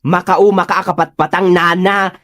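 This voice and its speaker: A woman's voice: lowish voice, steady tone, moderate pace, a bit bright, lightly acted. A woman playing an irritated store owner who scolds and shoos loiterers, brusque and exasperated, in a comedy radio drama. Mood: exasperated scolding.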